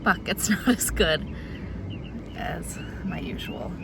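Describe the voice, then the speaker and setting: A woman's voice: on the low side, expressive, slow, vocal fry, noisy background. A woman in her thirties talking casually to camera in a vlog, chatty and bubbly with a slightly congested sound. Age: 30s. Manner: chatty, bubbly, self-deprecating asides. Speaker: vlogger. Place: out and about, car and home.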